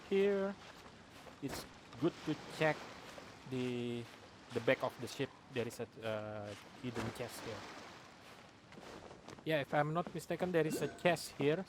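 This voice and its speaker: A man's voice: fairly high pitch, background noise. A man giving casual gameplay commentary, often repeating short phrases to himself. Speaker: gamer. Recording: prominent game music behind voice.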